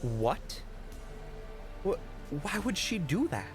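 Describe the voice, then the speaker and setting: A man's voice: high-pitched, big pitch swings, slow, faint music behind, heavily acted. A man playing a caring, level-headed doctor in an audio drama, concerned and reassuring. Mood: puzzled, earnest. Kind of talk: dramatic audio roleplay acting.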